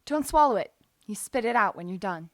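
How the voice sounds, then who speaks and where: A woman's voice: mid-range voice, lively intonation, a little fast, natural voice. A woman playing a breezy, joking young American army nurse in an audio drama, with an American accent and playful, slangy talk.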